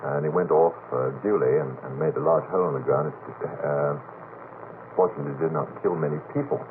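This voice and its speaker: The recording is narrow and thin like old radio tape, with a light hiss. A middle-aged man with a very low voice talks in a late-night panel discussion. He feels his way through long, polite, searching sentences. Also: a little fast. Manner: hesitant, courteous, wordy, searching. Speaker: radio panelist putting questions. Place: radio station studio.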